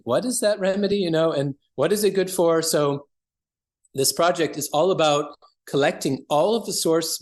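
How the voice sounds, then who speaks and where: A man speaking as an interview guest, explaining things conversationally and earnestly as a practitioner. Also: on the high side.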